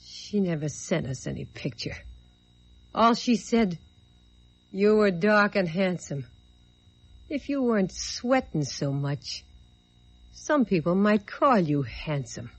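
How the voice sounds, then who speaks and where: A woman's voice: medium pitch, expressive, slow, long pauses. An actress playing a bitter, hard-boiled young woman out for revenge in an old-time radio drama, tough and world-weary. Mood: taunting. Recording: dull old radio recording.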